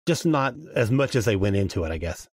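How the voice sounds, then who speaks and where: Low-pitched man chatting informally. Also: sing-song, a little fast, husky, dry recording. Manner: informal, colloquial, sweary. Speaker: podcast guest. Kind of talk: casual chat, discussing an audio drama.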